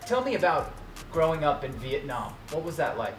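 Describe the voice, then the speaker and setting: A man's voice: a little high, big pitch swings, moderate pace, reverberant, soft background music. Friendly male TV interviewer. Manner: friendly, leading questions. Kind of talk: broadcast interview.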